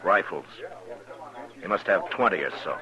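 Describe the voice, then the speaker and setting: An actor playing a steady, suspicious frontier lawman in a 1950s radio western, with an American accent. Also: low-pitched, moderate pace, crowd noise. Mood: firm, wary. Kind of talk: 1950s radio drama acting. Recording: dull, noisy 1950s radio recording.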